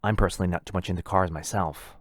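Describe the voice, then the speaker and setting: A man's voice: low voice, steady tone, fast, dry recording, studio quality. A man playing a lonely, possessive, rich vampire brother in a boyfriend ASMR roleplay, insistent and coaxing. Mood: eager, inviting.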